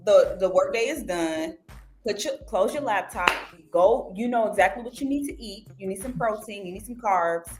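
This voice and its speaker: A woman's voice: medium pitch, moderate pace, a little mellow. A young woman guest on a casual podcast, talking animatedly and acting out her own self-talk. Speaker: guest journalist.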